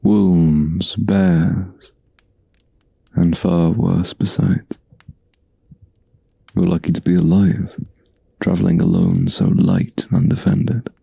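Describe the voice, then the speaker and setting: A man voicing a gentle, protective huntsman in a soft-spoken, intimate ASMR fantasy roleplay, with slow, soothing, old-fashioned phrasing and a low, gravelly, breathy voice. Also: animated, dry recording, full character voice. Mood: calm, reassuring.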